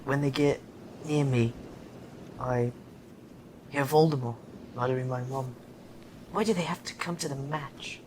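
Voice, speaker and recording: A man acts an anxious schoolboy wizard in his teens for an audio play. He sounds earnest, questioning and insistent. The track has clear background noise. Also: mid-range voice, fairly even intonation, unhurried, pauses often, darkish tone, lightly acted. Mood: troubled. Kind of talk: audio drama acting.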